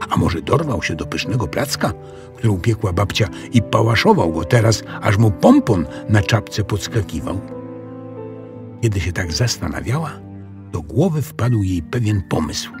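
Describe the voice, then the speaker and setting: A man narrating a children's audiobook in an expressive storytelling style. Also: fairly low pitch.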